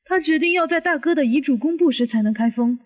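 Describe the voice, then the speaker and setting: An actress playing a suspicious middle-aged aunt who questions and reasons step by step in a Chinese audio detective drama. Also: female voice, high-pitched, fast, natural voice. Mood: insistent. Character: inquisitive, suspicious middle-aged aunt probing a supposed suicide. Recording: muffled, long hall reverb.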